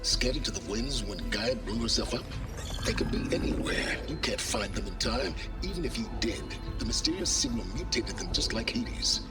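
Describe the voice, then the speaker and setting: An actor playing an arrogant, secretive older scholar in a video game cutscene, speaking in a measured, smug, condescending way. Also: male voice, mid-range voice, lively intonation, over loud music, natural voice. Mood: skeptical.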